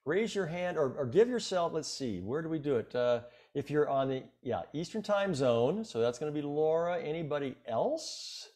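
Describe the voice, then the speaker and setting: A man's voice: fairly high pitch, sing-song, normal speed, hardly any pauses, darkish tone. A man in his sixties hosting a video call gathering, directing who speaks next. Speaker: meeting host.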